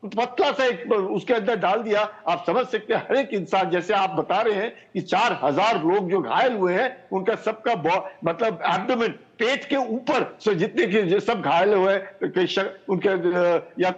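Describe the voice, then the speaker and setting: A male expert gives news analysis in a high, husky voice. He talks in emphatic fragments sprinkled with English technical terms, and the audio is dull and lo-fi. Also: unhurried, hardly any pauses. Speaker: expert commentator.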